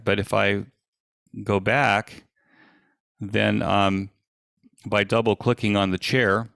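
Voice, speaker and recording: A man giving an informal live software demo as an event presenter, explaining things in a halting, stop-and-start way on an online video call. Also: fairly low pitch, creaky, smooth, no echo, clean studio sound.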